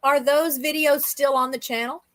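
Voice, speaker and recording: A woman's voice: high voice, steady tone, normal speed. A woman co-hosting a livestream in casual, conversational talk. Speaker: livestream co-host. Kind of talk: casual chat.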